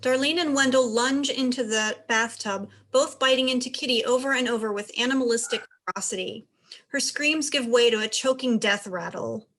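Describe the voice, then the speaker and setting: A woman's voice: fairly high pitch, moderate pace, a bit bright. A woman giving scripted narration, reading out the stage directions of a play.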